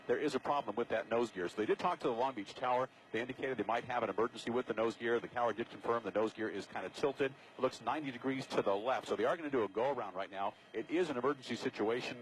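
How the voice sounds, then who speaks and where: American guy, helicopter news reporter, talks fast, some aircraft noise behind him. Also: medium pitch, poor audio. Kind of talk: live breaking news commentary. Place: aboard a news helicopter in flight. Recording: steady aircraft noise, outdoor broadcast feed.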